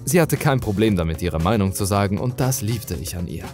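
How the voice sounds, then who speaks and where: A man's voice: fairly low pitch, lively intonation, brisk, a little mellow, soft background music. A man reading a scripted first-person horror story as a dramatic narrator.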